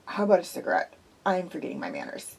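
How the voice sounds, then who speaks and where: A woman voicing a soothing middle-aged man, an art expert, in a measured tone. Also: fairly low pitch, huge pitch swings, gravelly, husky, voice pushed down, exaggerated voice, low-quality recording.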